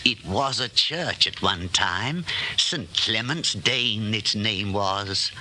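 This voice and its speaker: An actor playing a genial, chatty elderly widowed shopkeeper who rambles and hesitates deferentially, in 1940s radio style. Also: male voice, lowish voice, slow, sharp tone, full character voice. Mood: reminiscing. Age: older. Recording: old 1940s radio recording, faint noise.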